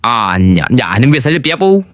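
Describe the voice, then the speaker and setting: A man's voice: medium pitch, sing-song, normal speed, dry recording, clean studio sound, lightly acted. A young man from Kerala voicing a greedy, naive cartoon friend who is eager to freeload.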